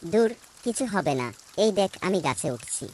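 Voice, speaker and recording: A woman's voice: lowish voice, very animated, unhurried, a little mellow, slight background noise. A woman voicing a bold young village schoolboy in a cartoon story. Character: village schoolboy, bold, hardworking son of a poor farmer.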